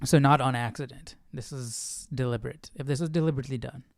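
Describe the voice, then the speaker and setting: A man's voice: mid-range voice, steady tone, quick pace, gravelly, dry recording. A man hosting a casual Bible study stream, thinking aloud in a questioning way and often repeating and rephrasing himself as he reasons. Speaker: livestream Bible study host.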